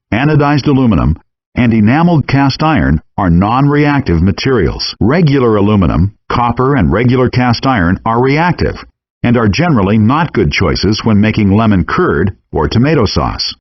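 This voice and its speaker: A man's voice: on the low side, slightly rough, dry recording. A man voicing a chef instructor in a cooking video game, reading scripted explanatory instructions in muffled, compressed game audio.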